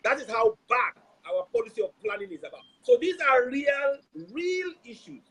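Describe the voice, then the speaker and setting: A man hosting an online talk, speaking in an animated, emphatic way and often repeating phrases. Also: very high-pitched, moderate pace, low-quality recording. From Nigeria. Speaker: host. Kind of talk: passionate civic talk.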